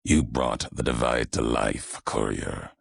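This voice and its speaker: A man acting a brooding, vengeful wasteland courier in a video game, with a gravelly, raspy bass and a solemn, ominous, terse delivery. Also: level tone, dry recording, clean studio sound, full character voice. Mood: accusing, menacing. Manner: slow, solemn, ominous, terse weighty phrasing. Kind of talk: video game character dialogue.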